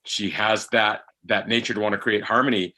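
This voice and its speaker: A man speaks conversationally as a guest in a discussion, laying out his point in short broken phrases. His voice is fairly low in pitch yet bright and forceful. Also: steady tone, normal speed. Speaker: actor guest on a discussion panel.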